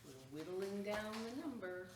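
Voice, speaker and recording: Slow-paced woman reading a picture book aloud. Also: mid-range voice. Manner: expressive, exclaiming, pausing to point out pictures. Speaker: storytime reader at a library. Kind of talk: animated picture-book read-aloud with asides to children. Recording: roomy echo, distant mic, faint noise.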